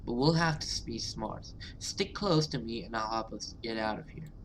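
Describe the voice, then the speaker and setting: A man's voice: medium pitch, normal speed, a bit bright, a little hiss or hum, natural voice, rough recording. A man voicing an urgent, protective, defiant survivor in a horror visual novel. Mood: urgent, determined.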